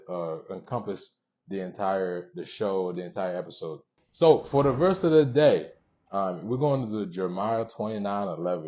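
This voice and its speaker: A young man hosting a motivational show, speaking to viewers in an earnest, conversational way with pauses between short phrases, on a dull recording. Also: deep voice, mellow. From born in Haiti, moved around the US. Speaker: show host.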